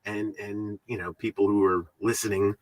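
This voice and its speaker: A man hosting a podcast in casual, rambling, halting talk, often repeating his words and swearing freely. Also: fairly low pitch, level tone, a little mellow, no echo, rough recording. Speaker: podcast host.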